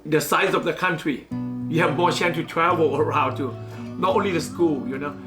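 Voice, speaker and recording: A speaker from Thailand with as a gives interview answers in hesitant English, pausing to find words and leaning on "you know". The voice sits at an ordinary middle pitch. A music bed plays quietly underneath. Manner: halting, searching for words, frequent "you know".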